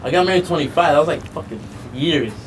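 Man, twenties, blunt, joking, sweary, slightly high voice, outdoor patio, clear background noise. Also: fast, rough recording. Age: 20s. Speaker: podcast guest. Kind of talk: casual chat.

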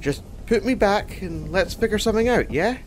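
Guy playing nervous captive young dude in a fantasy audiobook, high voice swinging around, trying to be nice and calm people down. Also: normal speed, slight background noise. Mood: placating. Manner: pleading, friendly, soothing. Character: anxious, placating captive young male traveler. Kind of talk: dramatized audiobook acting.

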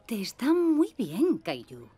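A woman's voice: on the high side, huge pitch swings, takes it slowly, dark tone, heavily acted. An actress playing a caring, patient mother of a small boy in a cartoon, soothing and coaxing, with a Castilian Spanish accent. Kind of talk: animated dub dialogue. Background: music.

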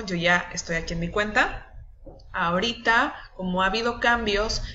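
A young woman with a Mexican Spanish accent guides viewers through an online tutorial at a patient, steady pace. Her voice has a bright, clear edge. The sound is slightly dull and lo-fi, like a built-in laptop mic. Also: medium pitch. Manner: patient, step-by-step explaining with frequent checks like "¿sí?" and "¿ok?". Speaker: nutritionist walking team members through a website. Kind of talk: screen-recorded tutorial.

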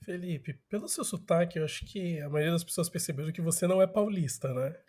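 A man with an accent from Santos, the podcast host asking a guest questions in a relaxed way over a call. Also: fairly high pitch, brisk. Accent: Santos, São Paulo state. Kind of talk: casual interview questions.

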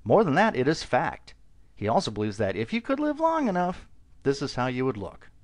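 Sarcastic man narrating, lively pitch. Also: brisk. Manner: mocking, deadpan sarcasm. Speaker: video narrator. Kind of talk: sarcastic scripted voice-over.

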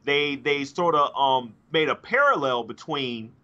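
Bright, lively man on dull audio. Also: medium pitch, unhurried. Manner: hesitant, many restarts and fillers. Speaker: podcast co-host. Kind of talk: casual chat. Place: home room, indoors. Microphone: studio mic on a video call.

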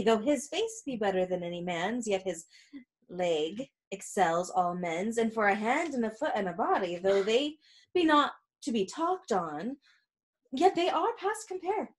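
A woman reading the part of a weary, complaining old nurse in a Shakespeare play, dramatic, groaning and stalling. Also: fairly high pitch.

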